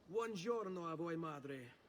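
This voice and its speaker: A man's voice: on the high side, animated, slow pace, a little hiss or hum. A man playing a cocky, playful young Italian nobleman and dutiful son in a video game cutscene. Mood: earnest. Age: young adult.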